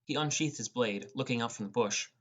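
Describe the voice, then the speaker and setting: A man's voice: medium pitch, fairly quick. A man reading first-person fantasy narration as an audiobook narrator. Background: music.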